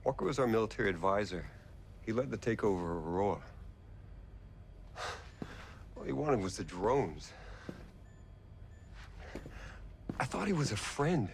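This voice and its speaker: An actor playing an anxious, remorseful tech company founder in a video game cutscene, intense and troubled. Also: male voice, on the low side, very animated, fast talker, vocal fry, darkish tone, slight background noise.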